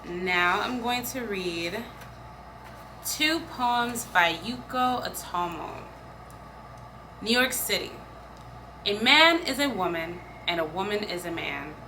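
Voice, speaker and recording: Female poetry reader, very slow and measured, mid-range pitch, with audible ambient noise. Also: animated. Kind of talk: poetry reading aloud. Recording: clear background noise, room ambience.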